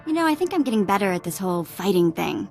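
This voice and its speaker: A woman's voice: medium pitch, big pitch swings, fast talker, vocal fry, a little mellow, faint music behind. An actress playing a breezy, cheeky young female sidekick in a video game, casual and playful. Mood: proud, playful. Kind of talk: video game dialogue acting.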